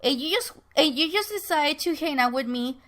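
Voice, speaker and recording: A woman's voice: high voice, lively intonation, normal speed, fairly bright tone, pitched above the performer's own voice. A woman voicing a bitter, sarcastic woman who taunts her former lover in a story, with theatrical emphasis. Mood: scornful, angry.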